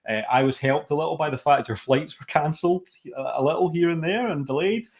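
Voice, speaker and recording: A man's voice: on the high side, a little fast. A man hosting a podcast in casual chat, friendly, informal and complimentary toward his guest. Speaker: podcast host.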